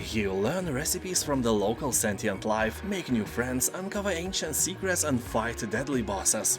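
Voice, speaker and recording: A man narrating scripted game reviews, with sarcastic, joking asides. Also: mid-range voice. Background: faint background music.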